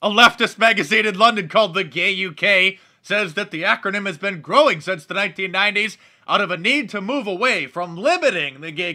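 A man's voice: high-pitched, sharp tone. A man delivering scripted, opinionated commentary on the news in an indignant, emphatic way. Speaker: online video commentator.

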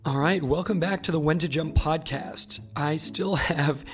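A man's voice: fairly high pitch, a little slow, faint music behind. A man hosting a podcast interview, his voice scratchy and worn from overuse. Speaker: podcast host.

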